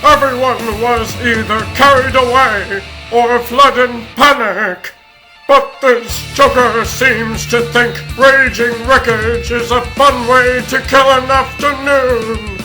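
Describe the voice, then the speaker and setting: A man's voice: very high voice, steady tone, slow, pushed and bright, soft background music, full character voice, poor audio. A man playing a bossy, wisecracking rabbit superhero leader in a comic book cartoon dub. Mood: urgent, commanding.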